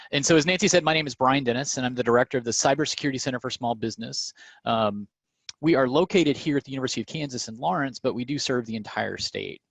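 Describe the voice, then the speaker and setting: A man's voice: mid-range voice, no room sound. A man from southern Louisiana presenting informally on a conference call, conversational in his delivery. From southern Louisiana, United States. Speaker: presenter, small business cybersecurity advisor. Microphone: remote conference call.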